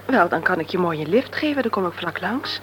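A woman playing a candid, soft-spoken visitor. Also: lowish voice, expressive, fairly quick, slight background noise. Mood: friendly. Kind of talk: radio play acting.

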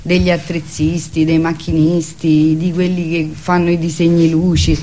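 A woman's voice: low-pitched, little pitch movement, moderate pace, dark tone, slight background noise. A woman being interviewed as a theatre artist, talking conversationally and reflectively, searching for her words.

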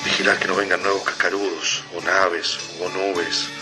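A man's voice: deep voice, flat delivery, moderate pace, close to an everyday voice, low-quality recording. An actor playing a desperate, anguished husband and father in an Argentine radio drama about an invasion. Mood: brooding, tense. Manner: anguished, urgent, exclamatory. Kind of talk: dramatic radio play acting. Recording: loud music over radio drama dialogue.

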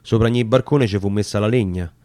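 A man's voice: lowish voice, fast talker, mellow, dry recording. A man with a Roman accent reciting narrative dialect sonnets as dramatic storytelling. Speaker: actor reading poetry.

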